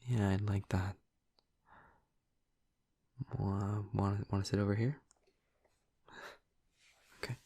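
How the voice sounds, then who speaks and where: Gentle, awkward male vampire roommate, acted by a man: deep, gravelly, soft-spoken and hesitant, intimate roleplay delivery up close. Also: level tone, no room sound, full character voice. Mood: gentle, attentive. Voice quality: soft, breathy, husky. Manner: hesitant, halting, tender. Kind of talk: intimate audio roleplay addressed to the listener. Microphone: close, dry microphone.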